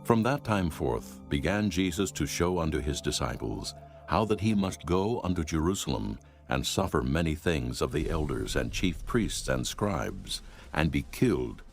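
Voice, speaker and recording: Very deep gravelly male scripture narrator. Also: sing-song, moderate pace, soft background music.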